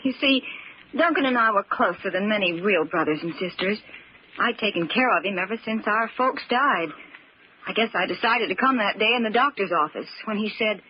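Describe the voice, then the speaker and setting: A woman acts out a devoted, protective young woman in an old radio play. She speaks earnestly at a middle pitch. The recording is dull with clear noise. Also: expressive, moderate pace, lightly acted. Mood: earnest recounting. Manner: earnest, pleading. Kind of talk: old-time radio drama acting.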